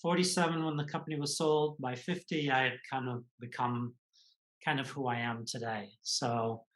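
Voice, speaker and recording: A man in his sixties from the United States, telling his life story in a measured, reflective way as an interview guest. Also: medium pitch, fairly even intonation, fairly bright tone. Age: 60s. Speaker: guest author and executive coach. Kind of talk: conversational interview answers.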